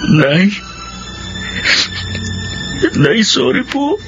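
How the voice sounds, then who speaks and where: A man's voice: extremely high pitch, very animated, slow, lo-fi sound, music in the background, exaggerated voice. A man playing a resentful, wayward young son with a Filipino accent in a radio drama. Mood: remorseful, sorrowful.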